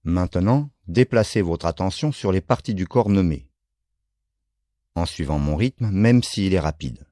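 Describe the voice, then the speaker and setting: Deep, very slow male relaxation guide. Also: no room sound, clean studio sound. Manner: calm, measured, short phrases with pauses. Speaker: yoga nidra relaxation instructor.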